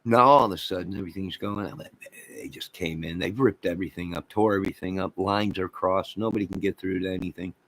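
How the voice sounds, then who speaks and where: A man's voice: low-pitched, steady tone, fast talker, a bit bright, slight background noise, poor audio. An American man giving a rambling, off-the-cuff rant as an online channel host, speaking in an exasperated, repetitive and emphatic manner.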